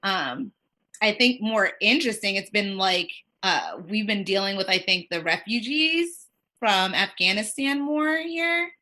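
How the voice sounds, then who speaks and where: A woman's voice: medium pitch, unhurried. A woman working as a child life specialist, chatting casually and rambling with lots of "like" fillers. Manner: rambling, heavy use of "like", quoting others in lively voices. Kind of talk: casual conversation on a podcast.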